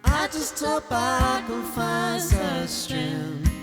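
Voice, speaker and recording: A woman's voice: high voice, animated, drawn-out words. A woman singing folk Americana live, accompanied by guitar. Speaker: folk singer. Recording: live hall reverb under guitar. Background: plucked acoustic guitar.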